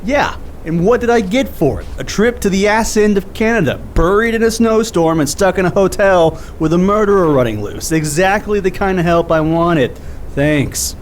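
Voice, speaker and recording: A man's voice: sits high, lively intonation, normal speed, a little hiss or hum. An actor playing an awkward, cynical, short-tempered male investigator in a naturalistic audio drama. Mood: bitter, angry.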